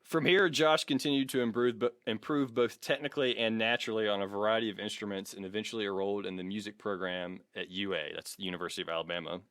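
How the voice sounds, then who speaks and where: A man's voice: fairly low pitch, steady tone, fast talker, few pauses, a bit bright, dry recording, clean studio sound. An American man co-hosting a comedy podcast, reading a biography with mock grandeur, swearing and slipping into casual banter. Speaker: podcast co-host.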